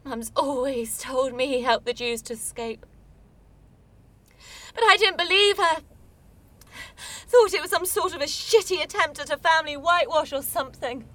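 A woman's voice: sits high, lively intonation, normal speed, long pauses, fairly bright tone, no room sound. An actress in a radio play voicing a wounded, sceptical young woman with a blunt, clipped way of answering. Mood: angry, bitter. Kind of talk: naturalistic radio play acting.

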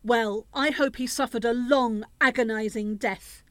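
A woman's voice: on the high side, fairly even intonation, measured pace, no room sound, close to an everyday voice. A woman playing a bitter, harsh older widowed mother in a radio play. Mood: venomous.

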